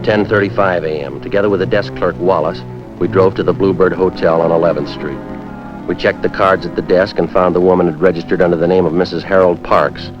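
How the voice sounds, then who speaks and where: Male actor as businesslike American detective sergeant and narrator, 1950s procedural. Low, terse, deadpan, on a dull vintage soundtrack. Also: fairly quick, natural voice. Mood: matter-of-fact. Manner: clipped, deadpan, matter-of-fact. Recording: dull 1950s film soundtrack, music underneath.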